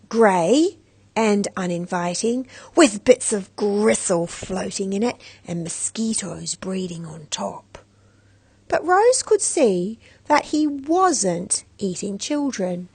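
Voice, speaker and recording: A woman reading a children's story aloud as an expressive narrator. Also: mid-range voice, slow pace, husky, dry recording. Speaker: storybook reader.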